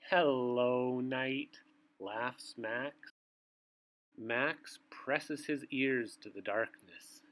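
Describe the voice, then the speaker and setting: A man reading a picture book aloud to young children, with theatrical, drawn-out phrasing and asides to his listeners. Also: medium pitch, a bit bright, low-quality recording.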